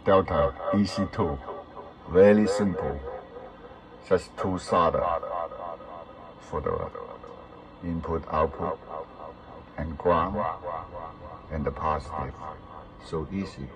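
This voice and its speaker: Very low-voiced man giving unhurried instructions. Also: crowd noise, poor audio. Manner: repeats short phrases for emphasis. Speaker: instructor demonstrating an electronics installation. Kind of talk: step-by-step hands-on tutorial, unscripted.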